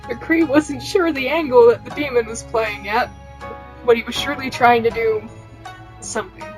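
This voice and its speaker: A woman's voice: on the high side, fairly even intonation, normal speed, soft background music, rough recording. A woman podcast host reading fan fiction aloud in an amateur, casual narration style.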